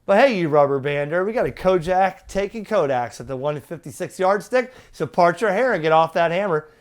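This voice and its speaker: Guy with a slightly high voice showing off radio gear, unscripted, folksy and rambly, some trucker cb slang. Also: lively intonation, a little fast. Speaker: radio hobbyist reviewing gear for viewers. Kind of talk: unscripted product demo vlog.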